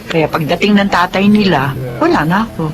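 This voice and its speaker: A woman's voice: lowish voice, brisk, over music, lightly acted. A woman with a Filipino accent playing an aging, hardworking widowed mother in a radio drama, earnest and polite. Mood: content. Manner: earnest, deferential.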